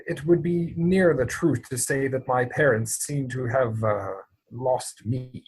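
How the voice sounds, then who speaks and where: A man playing an earnest, anxious young gentleman suitor in a theatrical comedy reading, speaking with formal, hesitant politeness. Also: medium pitch, unhurried, breathy edge, poor audio. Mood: sheepish.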